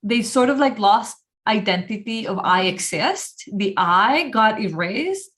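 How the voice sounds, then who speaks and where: A woman hosting a podcast interview, chatty and lively, laughing often as she talks. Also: mid-range voice, unhurried. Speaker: podcast host and coach. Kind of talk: casual interview chat.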